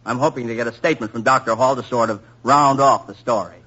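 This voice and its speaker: An actor playing a brash, slangy young male newspaper reporter with a husky voice, in a 1940s radio drama on a muffled old broadcast. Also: mid-range voice, steady tone, quick pace, sharp tone.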